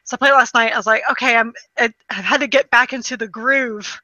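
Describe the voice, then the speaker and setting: A woman talks casually about video games in a fairly high, bright voice. She tells stories animatedly, acting out quotes and swearing freely. The recording is close and dry, a little lo-fi. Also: quick pace, no echo. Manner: animated storytelling with acted-out quotes. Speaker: podcast host.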